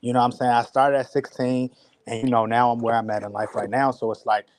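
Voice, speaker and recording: Relaxed man explaining casually. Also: medium pitch, fast talker. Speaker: esports coach, guest. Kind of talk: casual chat.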